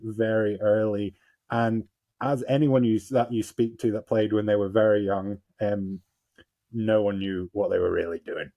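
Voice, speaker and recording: Low, mellow-voiced man hosting. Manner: relaxed, chuckling asides. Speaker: podcast host. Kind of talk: casual chat.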